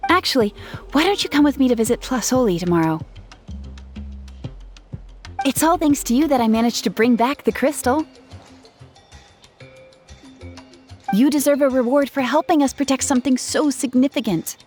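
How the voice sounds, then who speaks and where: A woman's voice: high-pitched, expressive, brisk, a little mellow. A woman playing an earnest, kind young female gem artisan in a video game, speaking in a conversational storytelling way. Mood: grateful, friendly. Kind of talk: video game character acting. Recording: music playing behind.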